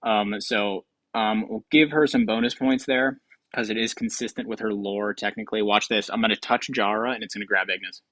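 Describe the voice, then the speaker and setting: A man's voice: fairly low pitch, steady tone, a little fast, fairly bright tone, no echo. A man hosting a game show with casual, improvised commentary in a rambling, offhand way.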